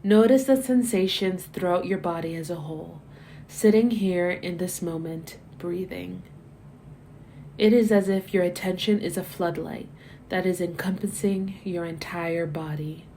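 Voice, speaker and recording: Meditation guide, female, lowish relaxed voice, soothing and measured scripted delivery with gentle phrase endings. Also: a little hiss or hum. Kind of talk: guided body scan meditation, scripted narration.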